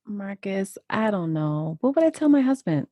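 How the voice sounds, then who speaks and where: A woman's voice: medium pitch, very animated, moderate pace, warm tone, no echo. A woman acting in an audio drama as a coy, hesitant wife carrying on a secret affair. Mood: tempted, hesitant.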